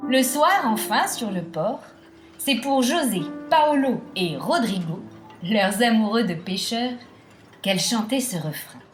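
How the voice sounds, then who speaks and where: A woman narrating a scripted children's tale as a storyteller, with theatrical, deliberate phrasing. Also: fairly high pitch, expressive. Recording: roomy echo, music behind.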